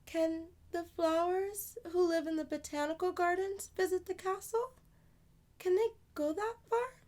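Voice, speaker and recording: Very high-pitched, curious little girl. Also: fairly even intonation, unhurried, darkish tone. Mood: eager, curious. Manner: plaintive, wondering questions. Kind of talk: children's storybook read-aloud.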